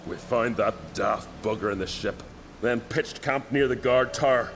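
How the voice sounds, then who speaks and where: An actor playing a loyal, hot-headed young island warrior in a video game, with an earnest, colloquial way of telling a tale. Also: male voice, mid-range voice, moderate pace, over music, close to an everyday voice. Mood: rueful, annoyed. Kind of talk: dramatic video game voice acting.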